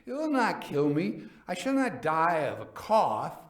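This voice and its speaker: A man's voice: on the high side, huge pitch swings, measured pace, reverberant, recorded from a distance, voice pushed up. A man voicing a proud, stubborn drunk wine lover with exclamatory bravado in a gothic story reading. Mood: dismissive, stubborn.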